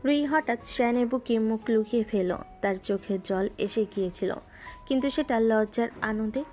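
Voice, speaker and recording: A woman narrating a romantic audio story in a gentle, scripted storytelling style. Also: fairly high pitch, a little fast. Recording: music bed underneath.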